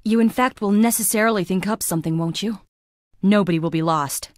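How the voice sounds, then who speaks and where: A woman's voice: medium pitch, fairly quick, dry recording, studio quality, close to an everyday voice. An actress playing a frail, melancholy young woman in a plague-stricken town, delivering dramatic video game lines in a wistful, languid, theatrical way. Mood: anxious, hopeful.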